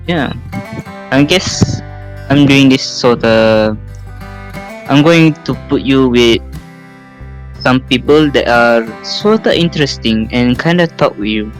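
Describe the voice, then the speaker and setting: A man hosts a casual podcast conversation. He talks in a halting, rambling way, and faint plucked guitar music plays behind his voice. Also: mid-range voice. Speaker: podcast host. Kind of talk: casual chat.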